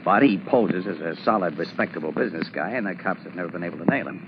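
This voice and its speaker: An actor playing a streetwise, steady crime photographer in a 1940s radio drama, with casual, wisecracking slang. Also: male voice, sits low, fast talker. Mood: knowing, brisk. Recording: muffled, noisy 1940s radio broadcast.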